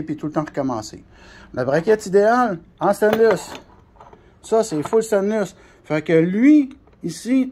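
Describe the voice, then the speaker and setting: High-pitched Quebec man explaining casually. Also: darkish tone, breathy edge. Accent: Quebec French. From Canada. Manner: colloquial, repeats himself for emphasis. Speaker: CB radio shop technician. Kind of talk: informal hands-on product explanation.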